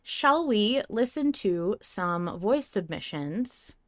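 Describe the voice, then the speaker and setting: A woman's voice: medium pitch, expressive, slow pace, dry recording, studio quality. A woman co-hosting a fan podcast in a casual, informal discussion style, swearing freely. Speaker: podcast co-host.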